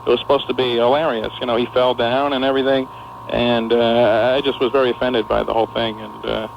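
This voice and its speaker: A man calling into a talk radio show, speaking hesitantly with many pauses and restarts. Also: mid-range voice, little pitch movement, creaky. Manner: hesitant, full of uhs and restarts. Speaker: radio caller. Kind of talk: phone-in radio chat. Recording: muffled AM radio broadcast with faint hiss.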